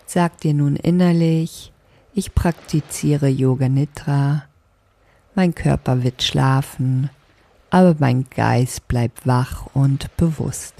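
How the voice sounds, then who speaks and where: A woman leading a guided sleep meditation as a yoga nidra teacher, speaking softly in a calming, deliberate way. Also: extremely low pitch, vocal fry, no echo. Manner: soft, calming, deliberate instruction.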